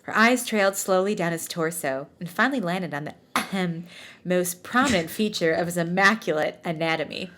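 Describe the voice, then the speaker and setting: A woman's voice: on the low side, a little fast, fairly bright tone. Woman podcast host reading fiction with playful theatrics. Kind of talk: comedic read-aloud with casual banter.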